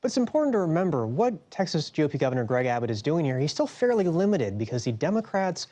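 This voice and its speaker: A news commentator explaining a political situation briskly. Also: medium pitch, very animated, warm tone.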